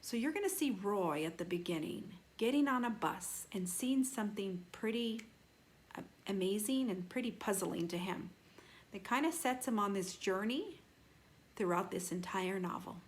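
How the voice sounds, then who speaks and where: A woman reading a children's novel aloud like a teacher, animated, with deliberate emphasis and dramatic slowing on key phrases. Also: mid-range voice. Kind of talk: expressive children's read-aloud.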